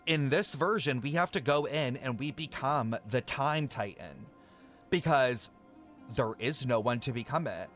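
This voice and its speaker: Bright-voiced young streamer musing aloud. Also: male voice, fairly high pitch. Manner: thinking out loud, excited speculation, frequent swearing. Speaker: streamer reacting to a game. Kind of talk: casual reaction commentary.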